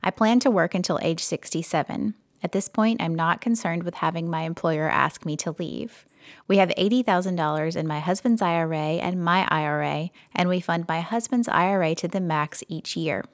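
A woman co-hosting a personal finance podcast, reading a listener's letter aloud in a steady, measured way. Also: fairly low pitch, no room sound, clean studio sound. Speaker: podcast co-host.

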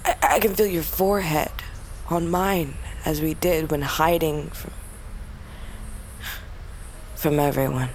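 An actress playing a grieving, fierce but lonely young princess of a wolf tribe in a fantasy audio drama, speaking in a solemn, intimate, halting way. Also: female voice, sits low, a little mellow, slight background noise. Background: music.

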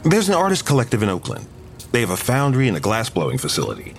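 An actor playing an affectionate young man, a boyfriend with a casual, gently teasing manner, in an audio drama. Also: lowish voice, huge pitch swings, fast talker, vocal fry, soft background music, full character voice. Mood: casual, proud. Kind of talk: audio drama dialogue, naturalistic.

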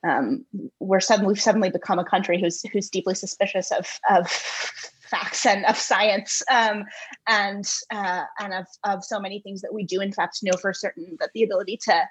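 A woman giving thoughtful interview answers in an earnest, halting way, repeating words as she reasons. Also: mid-range voice, steady tone, husky. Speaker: guest author, poet and essayist.

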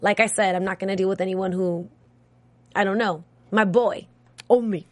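A woman co-hosting a casual TV recap, lively and teasing, laughing often. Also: mid-range voice, normal speed, dry recording. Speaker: podcast co-host.

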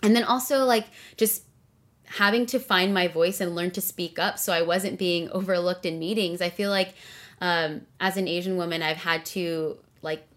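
A woman's voice: on the low side, steady tone, moderate pace, a bit bright. A young woman talking casually and earnestly as a podcast guest, with many fillers. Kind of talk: casual interview conversation.

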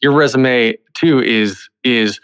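A man's voice: mid-range voice, slow pace, a bit bright, clean tone. A man hosting a podcast, talking in an effusive, wordy, conversational interview style. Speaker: podcast host.

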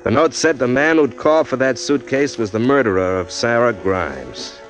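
A man's voice: medium pitch, moderate pace, natural voice. An actor playing a hard-boiled man narrating his own downfall in a 1940s radio drama. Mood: weary. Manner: hard-boiled, bitter first-person narration. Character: hard-boiled condemned man, weary and bitter. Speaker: narrator and lead, a man arrested for murder. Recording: old radio recording with music.

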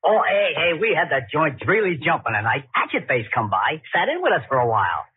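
An actor playing an easygoing jazz musician who drawls loose hipster slang in 1940s radio style. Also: male voice, on the high side, wildly swooping pitch, a little fast, narrow, tinny audio, slight background noise. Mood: breezy, tipsy-loose. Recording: thin 1940s radio recording.

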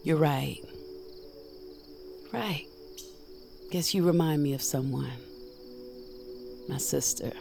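A woman's voice: low voice, takes it slowly, gravelly, pauses often, dark tone, soft background music, full character voice. An actress playing a seasoned magic user in an audio drama, a frank and protective mentor who teases as she instructs. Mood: earnest.

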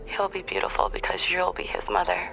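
A woman's voice: fairly low pitch, sing-song, fairly quick. A woman playing a wry, loving pregnant wife, teasing drily over the phone in an intimate audio drama. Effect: phone call filter. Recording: dull, lo-fi phone call.

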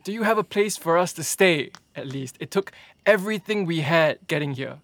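A weary polite bandit in an audio drama, highish voice, really dry sound. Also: male voice, lively intonation, moderate pace, fairly bright tone, no echo. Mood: cautious, earnest. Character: weary bandit captor, cautious, deferential, pleading.

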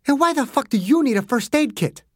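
A furious, defiant woman doctor who yells and curses at the men around her, as a male reader puts her on. The voice jumps high and swings wildly in pitch. The recording is dry and close. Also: wildly swooping pitch, fairly quick, breathy edge, dry recording, full character voice. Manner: shouting, swearing, accusatory.

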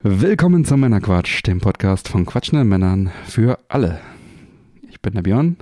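A man's voice: on the low side, sing-song, moderate pace, dark tone, no room sound, studio quality, soft background music. A man hosting a podcast in a casual, chatty style. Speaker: podcast host. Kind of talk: casual chat.

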